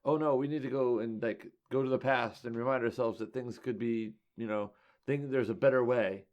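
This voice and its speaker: A man's voice: mid-range voice, steady tone, moderate pace, darkish tone, lo-fi sound. A man co-hosting a casual comics podcast, joking and teasing his partner. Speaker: podcast co-host. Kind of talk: casual chat.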